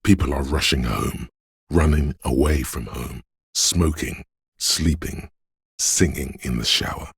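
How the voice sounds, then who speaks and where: Game role: an omniscient, ominous city spirit, extremely low and husky, poetic second-person narration. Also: male voice, no room sound, studio quality, exaggerated voice. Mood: wistful, brooding. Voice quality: very deep gravelly, husky voice. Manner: slow, hushed, poetic, ominous. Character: brooding omniscient spirit of a city, an inner sense.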